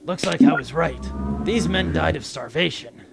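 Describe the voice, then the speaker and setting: A man's voice: a little high, moderate pace, gravelly, over a noisy crowd. A man playing an earnest, grandiose and dryly comic space commander in a sci-fi comedy audio drama. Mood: grave, dry.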